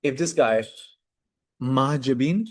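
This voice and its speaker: A man's voice: medium pitch, takes it slowly. A man speaking over a video call in a casual, easygoing way. He pads his thoughts with fillers and works ideas out aloud. He gives frank verdicts. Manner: relaxed, filler-heavy, thinks aloud. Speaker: judge reviewing cold emails.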